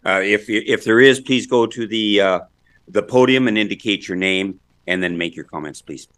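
A man's voice: on the low side, moderate pace, a bit bright. A man chairing a public council meeting in a courteous, procedural way.

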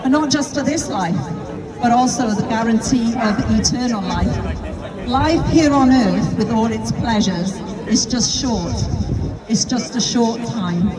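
A woman's voice: a little high, a little slow. A middle-aged British woman preaching in the open air on a shopping street, earnest and full of rhetorical questions, into a handheld microphone through a speaker. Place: busy pedestrian shopping street outdoors. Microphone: handheld mic through a street speaker. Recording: distant, outdoor street with crowd noise. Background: crowd of shoppers.

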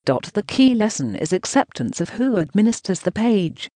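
Choppy synthetic news narrator, lively pitch. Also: moderate pace, dry recording. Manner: choppy, reads punctuation marks aloud. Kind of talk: synthetic voice reading translated news text.

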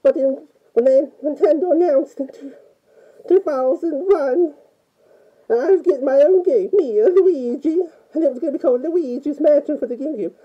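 A woman's voice: high-pitched, moderate pace, muffled recording, natural voice. A woman playing a timid, easily scared Italian plumber man with a put-on Italian accent, rambling nervously through a game review with many "oh"s. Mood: nervous chatty. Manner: nervous, rambling, frequent "oh" and "mamma mia". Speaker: fan playing a video game character.

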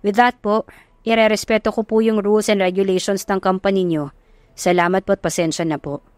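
Woman acting an earnest, courteous young gentleman. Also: mid-range voice, steady tone, a little fast, no room sound, close to an everyday voice. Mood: polite, sincere. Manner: polite, earnest.

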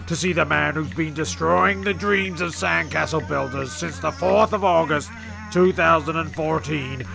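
A man's voice: fairly high pitch, normal speed, vocal fry, over music. A man playing a flustered, exasperated narrator of a comic castaway audio serial, rambling and stumbling over his words.

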